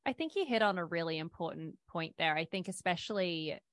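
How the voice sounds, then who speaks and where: A woman's voice: on the low side, expressive, fairly quick, a bit bright, dry recording. A woman hosting a podcast interview, asking curious questions in a casual way and often saying "like". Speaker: podcast host, doctor.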